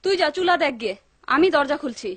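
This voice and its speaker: A woman's voice: sits high, expressive, fast talker, no room sound. A woman playing a bossy, suspicious housewife in a dubbed cartoon, with a demanding way of talking. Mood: impatient, scolding. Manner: demanding, scolding. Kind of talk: Bengali-dubbed cartoon acting.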